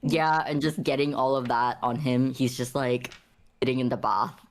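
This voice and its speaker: A woman narrating her character's actions in a casual tabletop game, halting and circling back over phrases. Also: rumbling low, fairly even intonation. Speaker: tabletop RPG player. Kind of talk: casual improvised roleplay narration.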